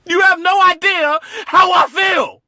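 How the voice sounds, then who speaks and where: A man performing a dramatic monologue as a grieving, bitter grown son of an executed father, with halting, emphatic repetition. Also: on the low side, no room sound, clean studio sound. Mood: screaming, pleading.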